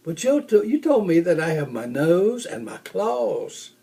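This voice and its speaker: A man voicing a curious, excitable young male bear cub in a children's storybook. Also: high-pitched, unhurried, creaky, heavily acted. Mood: puzzled. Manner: eager, questioning, exclaiming. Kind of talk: children's storybook read-aloud.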